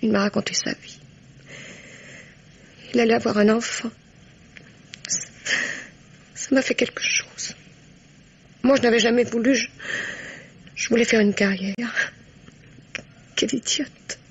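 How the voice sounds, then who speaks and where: An actress playing a broke, self-mocking dancer in a French radio mystery, frank and rueful, with a breathy, creaky voice. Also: female voice, a little high, steady tone, unhurried, long pauses, full character voice. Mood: subdued, rueful. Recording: dull old radio recording.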